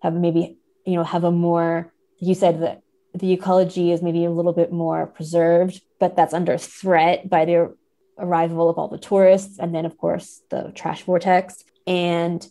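A woman's voice: fairly low pitch, little pitch movement, moderate pace, warm tone. A woman guest on a podcast, explaining literature analytically while searching for her words.

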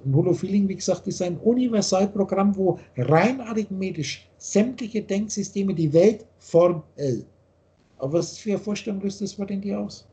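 A man's voice: high-pitched, dark tone. An older man on a video call from a home office, lecturing insistently on spiritual ideas and gesturing as he talks. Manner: insistent, gestures a lot while holding forth. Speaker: spiritual teacher. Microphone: video call webcam.

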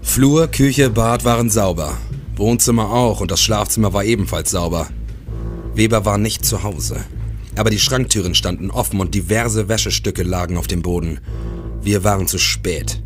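A man's voice: lowish voice, moderate pace, soft background music, natural voice. An actor playing a sober police detective who narrates his case in a clipped, matter-of-fact way for a German crime radio play. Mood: matter-of-fact, suspenseful.

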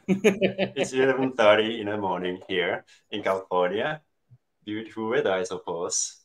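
A man with a strong Japanese accent speaks as a guest on a video call. His voice sits very low, and he keeps a playful, self-deprecating tone while he tells his story. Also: animated, unhurried, rough recording. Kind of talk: casual interview chat. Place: home room, indoors.

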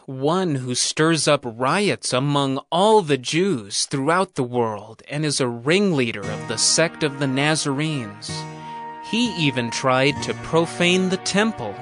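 An actor playing a flattering, accusing spokesman addressing a governor in a slow, unctuous, formal manner. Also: medium pitch, no room sound, faint music behind. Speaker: actor in an audio Bible drama. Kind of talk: formal dramatized oratory.

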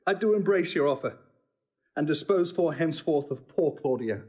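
An actor playing an earnest, passionate young noble lover in classical Shakespearean audio drama. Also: male voice, a little high, expressive, normal speed, mellow, close to an everyday voice. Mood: remorseful. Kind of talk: classical Shakespearean radio acting.

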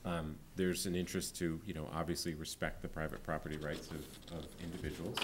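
A man in his forties, a town planning official, answering a board's questions at a public meeting, often pausing with ums and restarting sentences. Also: a bass voice, little pitch movement, a little hiss or hum. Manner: hesitant, many ums and restarts. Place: town hall meeting room. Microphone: desk microphone.

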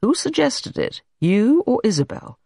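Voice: medium pitch, sing-song, unhurried, vocal fry, a little mellow, no room sound, studio quality, voice pushed up, exaggerated voice. A reader voicing an irritable, domineering male painter in an audiobook story, blunt and scolding. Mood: exasperated.

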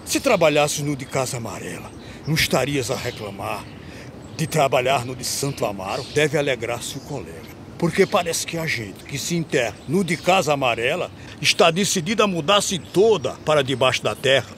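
An actor playing a seasoned, wry gravedigger in an animated film, countering in verse. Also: male voice, medium pitch, moderate pace, noisy background, natural voice. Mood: wry envy. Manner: wry, contradicting. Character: wry male career gravedigger, seasoned, one-upping colleague.

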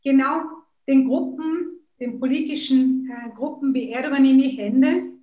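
A woman's voice: high voice, unhurried, echoey room, lo-fi sound. A woman in her thirties whose first language is Kurdish, speaking earnestly on a video call with frequent hesitations. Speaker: politician, interview guest. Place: room at home.

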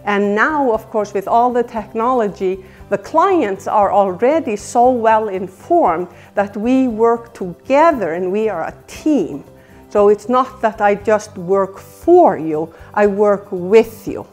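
A woman's voice: mid-range voice, lively intonation, a little mellow, soft background music. Icelandic-accented older woman realtor. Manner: earnest, measured, gesturing with both hands. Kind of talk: promotional self-introduction to camera. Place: indoor living room by a stone fireplace.

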